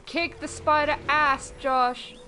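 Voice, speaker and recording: A woman chatting casually with friends while playing a game, excitable and sweary. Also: high-pitched, slow, background noise. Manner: excitable, swears, squeals at kills. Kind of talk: casual gaming chat. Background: game music.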